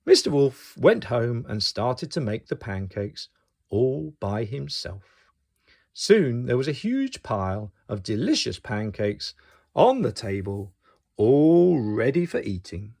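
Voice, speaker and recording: A man reading a picture book aloud to young children as an expressive storyteller. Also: medium pitch, takes it slowly, vocal fry.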